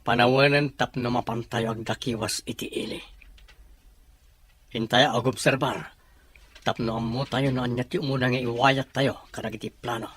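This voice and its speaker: Husky Ilocano gang leader played by a man. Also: mid-range voice, steady tone, moderate pace, a bit bright. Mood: commanding, plotting. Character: scheming male leader of a rebellious gang. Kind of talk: Ilocano audio drama dialogue. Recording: music bed behind the voice.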